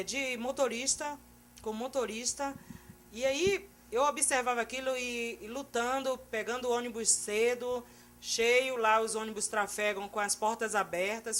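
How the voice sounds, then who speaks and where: A woman's voice: fairly high pitch, a bit bright, slight background noise. A middle-aged woman with a Bahian Brazilian accent, telling her own story as an interview guest. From Salvador, Bahia, Brazil. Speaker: interview guest, Portuguese teacher.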